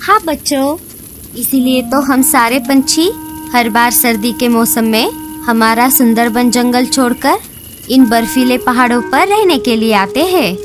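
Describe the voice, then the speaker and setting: A woman plays a gentle mother bird in a cartoon, chatty and coaxing, with a high voice and slow delivery. Loud music plays behind. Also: lightly acted.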